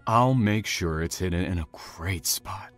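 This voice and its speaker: A man's voice: deep voice, unhurried, a bit bright. A man playing a sinister serial killer in an audio drama, with a patronizing, falsely friendly manner. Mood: falsely soothing menace. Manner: soothing, patronizing menace, casual with friends.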